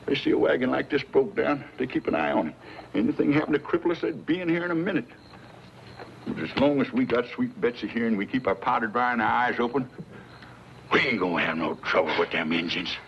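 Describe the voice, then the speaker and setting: Folksy old frontiersman, American frontier drawl, lively rise and fall, rambling, dull noisy radio western recording. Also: mid-range voice, creaky. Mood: admiring, confident. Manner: rambling, homespun, breaks into humming and song. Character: folksy, garrulous old frontiersman, fond of his rifle.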